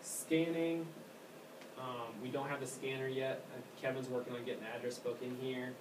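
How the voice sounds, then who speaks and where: A man giving informal hands-on training as a technician, talking casually and haltingly and often trailing off. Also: mid-range voice, level tone. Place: indoor room at an elementary school. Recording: distant mic, echoey room, clear background noise.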